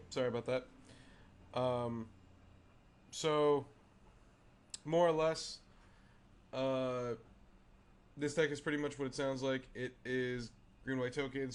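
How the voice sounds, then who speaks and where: Raspy unwell man hosting a deck walkthrough. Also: medium pitch. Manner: halting, many fillers and restarts. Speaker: host. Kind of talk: casual card game deck walkthrough.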